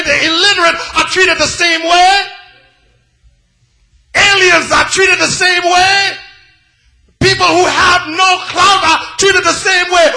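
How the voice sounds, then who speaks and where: High, forceful male preacher. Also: gravelly. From West Africa. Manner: dramatic, swinging from hushed grave passages to shouted repetition. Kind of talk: impassioned sermon.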